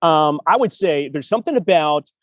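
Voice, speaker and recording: A man's voice: fairly high pitch, animated, moderate pace, dry recording. Upbeat man giving writing advice. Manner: encouraging, repeats words and restarts, laughs often. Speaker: podcast host. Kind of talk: casual advice chat.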